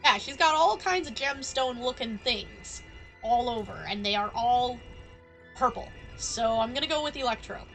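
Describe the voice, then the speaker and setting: A woman's voice: on the high side, moderate pace, gravelly, a bit bright, soft background music, low-quality recording. A woman casually commenting on a game during a live stream, musing to herself.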